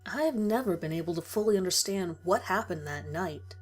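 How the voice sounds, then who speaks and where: A woman's voice: on the low side, lively intonation, brisk. A woman reading a first-person horror story as a dramatic scripted narration. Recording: music behind the voice. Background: music.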